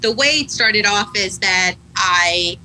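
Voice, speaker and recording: A woman in her thirties with an American accent answers interview questions in a candid, reflective way, often pausing with "um" and "uh." Her voice is very bright and sits at a middle pitch. Faint background noise runs underneath. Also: low-quality recording. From Pakistan, raised in Atlanta. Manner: candid, reflective, many fillers and pauses. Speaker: guest, attorney, nonprofit founder.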